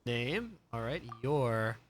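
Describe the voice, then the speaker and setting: A man's voice: medium pitch, big pitch swings, slow, slight background noise. A man streaming a video game, giving casual live commentary and questioning things aloud as he plays. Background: game music.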